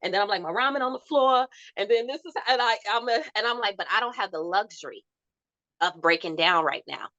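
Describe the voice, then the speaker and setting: A young woman with a soft voice telling stories in a candid podcast chat, acting out quotes as she goes. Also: medium pitch, fairly quick, no room sound, muffled recording. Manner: animated storytelling with laughs and acted-out quotes.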